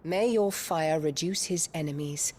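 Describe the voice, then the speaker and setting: A scheming imperial noblewoman. She is haughty and venomous, speaking with formal, condescending deliberation as in a dramatic game cutscene. A faint rumble sits underneath. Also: measured pace, a little mellow. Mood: coldly commanding.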